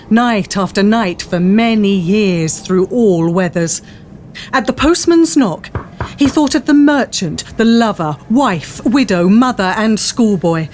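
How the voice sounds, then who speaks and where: A woman's voice: medium pitch, a little mellow, a little hiss or hum. A woman narrating a story from a script in a dramatic audio drama style.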